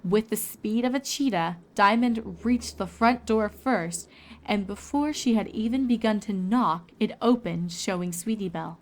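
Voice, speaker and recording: A woman's voice: medium pitch, a little slow. A woman reading scripted story narration as a storyteller and voice actor.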